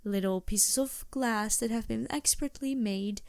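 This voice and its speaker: A woman's voice: a little high, measured pace, smooth, dry recording. A woman playing a polite, reassuring vampire merchant in a fantasy ASMR roleplay, speaking formally with small hesitant asides. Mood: proud, explaining. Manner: genteel, formal phrasing with hesitant asides and soft chuckles.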